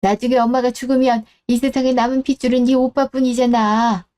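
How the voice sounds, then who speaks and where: A woman voicing a gentle, self-effacing elderly mother in a radio drama who speaks in a soft, apologetic, consoling way. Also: on the high side, level tone, fairly quick, close to an everyday voice. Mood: scolding. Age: older.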